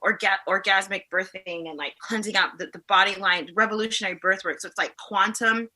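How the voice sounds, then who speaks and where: A woman in her forties from the Caribbean talks at a loose, rambling pace as a podcast guest. Her voice is very bright and forceful, and it sits at a middle pitch. She keeps drifting into side stories. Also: fairly even intonation, fairly quick. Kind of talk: casual podcast conversation.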